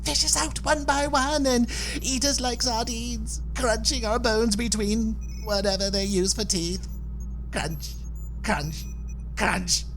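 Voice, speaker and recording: A woman's voice: fairly high pitch, takes it slowly, faint music behind, poor audio. A woman playing a doom-laden spaceship passenger in a comic sci-fi audio drama, with theatrical, florid, dire delivery. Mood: ominous dread.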